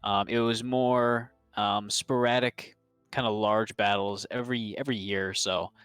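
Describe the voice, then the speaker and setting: A young man narrating as game master, rambling with many pauses and restarts. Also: fairly low pitch, steady tone, fairly bright tone, clean tone, dry recording, soft background music.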